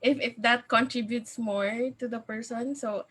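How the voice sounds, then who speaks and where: Woman, show host, slightly high voice, slow pace, halting, giggly. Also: fairly even intonation, fairly bright tone. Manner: halting, many fillers, giggly. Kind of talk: casual interview chat.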